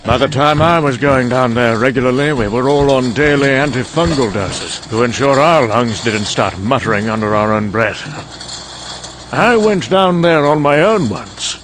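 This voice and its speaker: A man's voice: mid-range voice, close to an everyday voice. A man playing a weary, sherry-soaked older scientist, rambling conversationally and hesitantly in a wry, confessional monologue. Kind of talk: dramatic monologue. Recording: clearly noisy background ambience.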